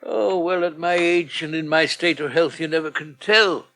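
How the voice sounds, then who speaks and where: Radio soap: elderly, self-pitying Englishman. Genteel grumbler, dry wit, slightly high forceful voice. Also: moderate pace. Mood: mournful, wry. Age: older. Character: frail, self-pitying old man, fussy and drily gloomy. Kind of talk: British radio soap acting.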